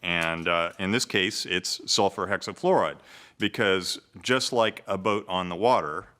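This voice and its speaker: A man's voice: low voice, a little slow, sharp tone. A man co-hosting a science show, making dry, matter-of-fact remarks on camera. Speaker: co-host and experimenter.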